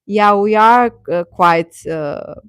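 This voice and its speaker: A woman hosts a casual livestream in a close, dry-sounding recording. She speaks at a slow, unhurried pace with a mid-range voice, chatting to her viewers and often repeating her phrases. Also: mid-range voice, smooth, dry recording. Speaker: livestream host.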